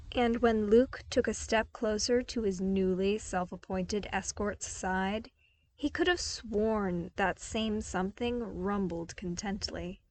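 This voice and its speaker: A woman reading scripted fiction aloud as the narrator of an audio story. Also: mid-range voice. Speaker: podfic narrator.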